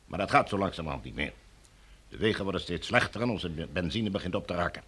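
A military sector commander in a radio drama, his voice on the low side. He speaks as heard in the Netherlands, formally and officiously, with a smooth, domineering menace. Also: expressive, quick pace, fairly bright tone, heavily acted. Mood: coolly matter-of-fact.

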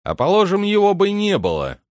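A man's voice: mid-range voice, very animated, measured pace, no room sound, studio quality, voice pushed up. A man voicing an impatient, condescending head butler in a storybook, brusque and commanding as he questions the servants. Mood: calling out. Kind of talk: dramatic literary audiobook character reading.

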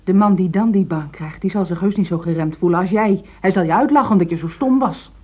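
An actress playing a pragmatic, pushy middle-aged wife, insistent and reproachful, in a radio play. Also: female voice, on the low side, fast talker, slightly rough. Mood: insistent, scolding. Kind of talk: Dutch radio play acting. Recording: thin, phone-like old radio play recording.

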